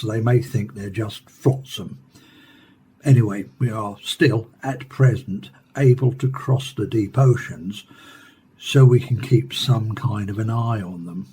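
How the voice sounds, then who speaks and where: Radio drama actor: grave scientist, mid-pitched, relaxed timbre, explanatory and formal. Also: male voice, vocal fry, a little hiss or hum. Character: measured male scientist, expert on an alien invasion. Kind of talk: radio drama acting as a studio interview guest.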